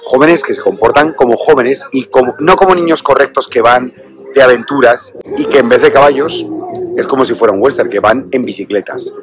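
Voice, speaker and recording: A man's voice: mid-range voice, brisk, crowd noise. A man in his forties talks casually and eagerly about a film, filming himself on a phone held out at arm's length in an open-air lot. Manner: enthusiastic, chatty selfie presenting. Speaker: film review host. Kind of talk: casual film review to camera. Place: outdoor drive-in cinema lot.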